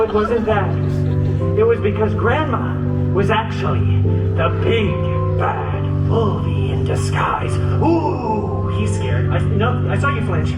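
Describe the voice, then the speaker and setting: Brief: male actor as sarcastic mercenary antihero, lively sing-song delivery, recorded from far off. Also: high-pitched. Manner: snarky, self-mocking, fourth-wall jokes. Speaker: live show storyteller. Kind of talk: comic live storytelling to an audience. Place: outdoor theme park stage. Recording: echoey park speakers from far off, loud music.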